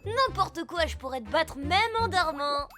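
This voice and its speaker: A performer playing a cocky, impatient, competitive little boy in a children's cartoon, boastful and excitable. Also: extremely high pitch, a little slow, loud music behind the voice. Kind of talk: dubbed children's cartoon dialogue.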